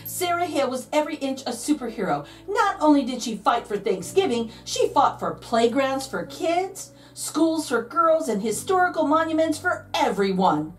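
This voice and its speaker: A woman's voice: high voice, big pitch swings, moderate pace, few pauses, distant mic, soft background music. A woman reading a picture book aloud to children, dramatic and playful, drawing out key words for emphasis. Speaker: read-aloud host, storyteller.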